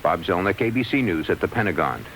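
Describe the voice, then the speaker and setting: A man's voice: low voice, animated, fast talker, fairly bright tone, a little hiss or hum. An American man delivering a scripted radio report as a news correspondent.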